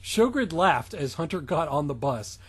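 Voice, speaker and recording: A man co-hosting a comedic reading, breaking off to mock the story in halting asides. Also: on the high side, big pitch swings, breathy edge. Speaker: podcast co-host. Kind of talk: comedic dramatic reading with banter.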